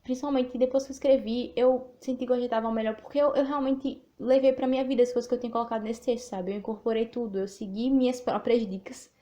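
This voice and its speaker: A woman's voice: a little high, steady tone, fast talker, hardly any pauses, dark tone. A woman from Northeast Brazil with a Sergipe accent, hosting a podcast, chatting in an informal, reflective way and reading aloud a text she wrote. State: a little nervous. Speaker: podcast host and writer. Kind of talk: podcast monologue with reading of her own text.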